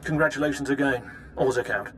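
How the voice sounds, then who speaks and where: An actor playing a brisk, businesslike imperial ship captain in a game, with clipped military delivery. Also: male voice, mid-range voice, noisy background, close to an everyday voice. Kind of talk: dramatic game cutscene acting.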